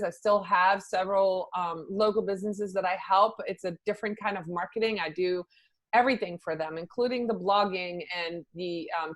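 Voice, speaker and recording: A middle-aged woman from the Netherlands, a social media consultant, answering interview questions in a chatty way with many fillers. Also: medium pitch.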